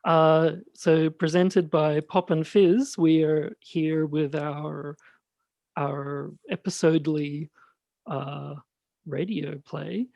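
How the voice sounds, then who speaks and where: A man with a high, light voice hosting a casual podcast and directing, speaking in a hesitant, halting way. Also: fairly even intonation, creaky, a little mellow, no echo. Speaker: host and radio play director.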